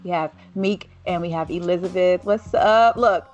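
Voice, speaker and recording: A young woman hosting a casual podcast chat, her voice raspy, laid-back and laughing often. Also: lowish voice, moderate pace, a little mellow, lo-fi sound, soft background music. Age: young adult. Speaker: host.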